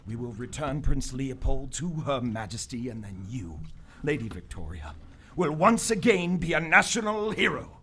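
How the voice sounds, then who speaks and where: A man playing a proper, excitable gentleman explorer and loyal companion in live radio theater on a stage. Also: mid-range voice, normal speed. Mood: earnest. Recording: live stage recording with background noise.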